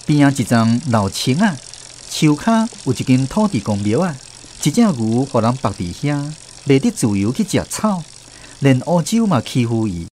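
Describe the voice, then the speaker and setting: A man's voice: medium pitch, very animated, normal speed, darkish tone, a little hiss or hum, natural voice. A man with a Taiwanese accent playing a grown man who narrates fond memories of his country childhood in a radio drama. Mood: reminiscing.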